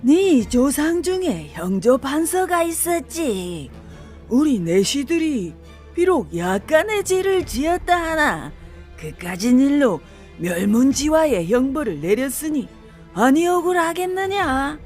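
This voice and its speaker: A menacing ghost of a wronged court eunuch in a theatrical folktale. The voice is high and swoops widely, dragging out each taunting threat at a slow pace over music. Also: female voice, sing-song, darkish tone, exaggerated voice. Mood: vengeful, accusing.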